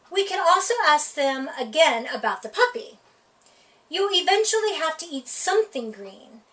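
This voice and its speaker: Woman, storytime reader, high voice, very lively pitch, playful read-aloud, distant from the mic. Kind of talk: reading a children's picture book aloud.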